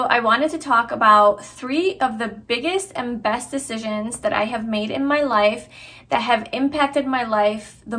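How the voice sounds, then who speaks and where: A woman's voice: on the high side, a bit bright. A young woman from Hungary talking casually and earnestly to her vlog viewers, with lots of fillers and restarts. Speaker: vlogger. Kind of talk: casual personal vlog talk.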